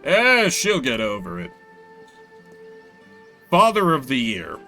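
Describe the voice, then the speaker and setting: A man's voice: medium pitch, huge pitch swings, takes it slowly, sharp tone, soft background music. A man giving casual, sarcastic commentary as he streams a video game. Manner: wry, sarcastic.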